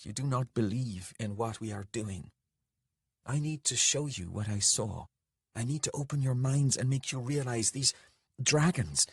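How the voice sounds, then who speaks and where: A man's voice: medium pitch, husky, dry recording, studio quality, voice pushed up. A man voicing a fierce, protective woman in a fantasy audiobook, intense and earnest.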